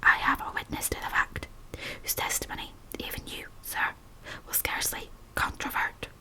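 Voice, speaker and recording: A woman voicing a calm, steady male lawyer who stops a wedding, whispering each word distinctly and formally. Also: normal speed, lightly acted. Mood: firm.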